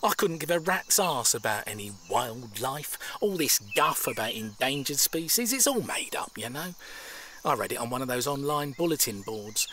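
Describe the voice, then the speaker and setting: A man's voice: medium pitch, noisy background, natural voice. An actor playing a cynical, scheming British tradesman in an audio drama, blunt, dismissive and conspiratorial. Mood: scornful grumbling. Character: cynical, scheming tradesman with a grudge against the countryside.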